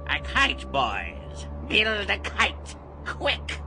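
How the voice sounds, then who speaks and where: An actor playing an eerie, grandiose guide to death and Halloween in an audio drama, swinging between hushed and booming delivery. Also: male voice, huge pitch swings, drawn-out words, very noisy, exaggerated voice. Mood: commanding, excited. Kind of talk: full-cast audio drama acting. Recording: loud music under the voice.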